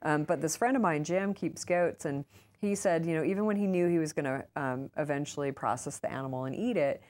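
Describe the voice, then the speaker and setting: A woman with a low-pitched voice speaks as a guest in an interview. She explains things informally through anecdotes, slipping into short quoted remarks as she goes. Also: fairly quick, darkish tone. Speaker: interview guest, author and urban farmer. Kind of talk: conversational interview answers, anecdotal.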